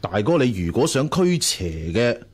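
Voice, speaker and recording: An actor playing an eager, fawning hanger-on in a radio drama, chatty and obsequious. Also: male voice, medium pitch, big pitch swings, moderate pace. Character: eager, flattering hanger-on, sworn younger brother. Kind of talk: Cantonese radio drama acting.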